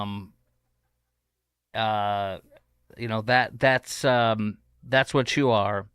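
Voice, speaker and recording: Smooth-voiced sarcastic man host. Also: medium pitch, fairly bright tone, no room sound, clean studio sound. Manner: sarcastic, combative. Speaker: talk show host. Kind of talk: opinionated commentary.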